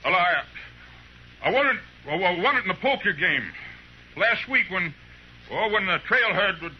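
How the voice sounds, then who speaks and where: An actor playing a defensive, cornered western outlaw in a 1950s radio drama, blustering and agitated. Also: male voice, high voice, lively intonation, normal speed. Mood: panicked, defiant. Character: Tulsa, defensive cornered outlaw spending stolen gold. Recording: muffled noisy vintage radio recording.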